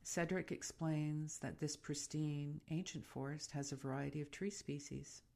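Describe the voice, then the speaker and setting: A woman guiding a meditation in a soothing, unhurried and gently instructive way. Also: sits low, fairly even intonation, creaky. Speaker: meditation guide and podcast host. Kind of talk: guided meditation narration.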